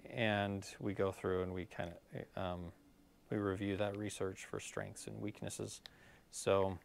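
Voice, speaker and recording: A man's voice: fairly low pitch, creaky. A middle-aged man explaining scoliosis care at a live workshop, casual and full of fillers, while losing his voice. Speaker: physical therapist leading a scoliosis workshop. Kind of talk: live-streamed workshop talk. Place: clinic room.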